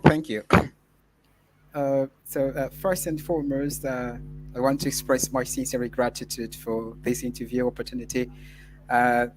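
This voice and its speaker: A man's voice: mid-range voice, a little hiss or hum, low-quality recording. A man whose first language is Portuguese, answering a mock scholarship interview in a formal, earnest way with frequent filler sounds. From Mozambique. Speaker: interview candidate.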